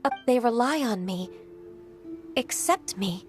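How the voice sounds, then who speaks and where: Casting: soft-spoken, dutiful young-sounding secretary for anime game, slightly high, earnest and formal. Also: female voice, expressive, slow, music in the background. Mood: moved, reflective. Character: gentle, dutiful, millennia-old female secretary who sounds young. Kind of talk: anime-style video game voice acting.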